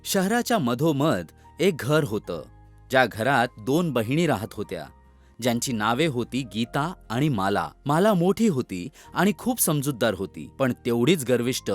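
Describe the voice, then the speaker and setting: A man reads a children's fairy tale with animated, wide-ranging intonation. His delivery is expressive, scripted storytelling, with music playing behind his voice. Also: mid-range voice, measured pace. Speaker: storyteller narrator.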